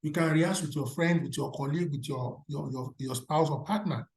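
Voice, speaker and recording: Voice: medium pitch, a little fast, a little mellow. A speaker with a Nigerian accent, a native Yoruba speaker, giving a motivational career talk to alumni. The delivery is emphatic, and the speaker often throws rhetorical questions at the audience. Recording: roomy echo.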